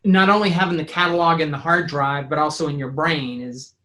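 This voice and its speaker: A man's voice: on the high side, steady tone, brisk, bright, forceful tone. A man talking casually in an interview, rambling and thinking aloud with enthusiasm. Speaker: sound designer and composer; teacher.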